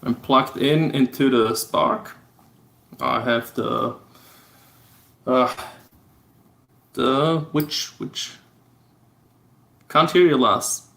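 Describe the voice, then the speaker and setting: A man from Austria whose first language is German, speaking English with an Austrian German accent. He hosts a casual livestream and explains things step by step in a halting, low-key way. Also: fairly bright tone. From Austria (Vorarlberg). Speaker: livestream host. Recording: roomy echo.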